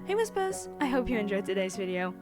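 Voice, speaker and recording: A woman's voice: medium pitch, sing-song, fast talker, over music. A woman ASMR creator giving a casual outro straight to her viewers.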